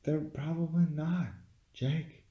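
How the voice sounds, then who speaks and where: A man's voice: on the high side, steady tone, slow, muffled recording. A man co-hosting a casual movie review podcast, often hesitating as he searches for his words. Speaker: podcast co-host. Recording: echoey room.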